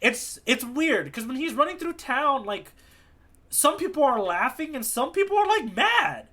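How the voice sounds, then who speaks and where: A man's voice: very high-pitched, sing-song, normal speed, bright, forceful tone. A man co-hosting a podcast in informal, casual chat, circling around his point with repeated words. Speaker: podcast co-host.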